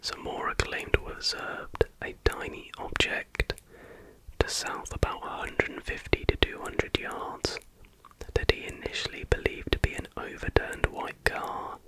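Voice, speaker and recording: Whispering man, ASMR narration. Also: poor audio. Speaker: ASMR video host.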